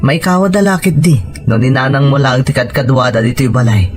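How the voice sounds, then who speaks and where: Man acting as worried husband, mid-pitched voice, hesitant, reluctant, questioning, domestic drama, music behind. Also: brisk, close to an everyday voice. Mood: doubtful. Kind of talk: domestic audio drama dialogue.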